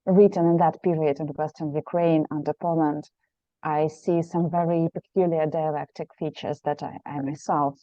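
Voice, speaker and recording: A woman in the audience poses a long, meandering question after an academic talk. Her voice is low and mellow, with a muffled, dry sound. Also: level tone, moderate pace, no room sound. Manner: long winding question with many fillers. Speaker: audience member, language teacher.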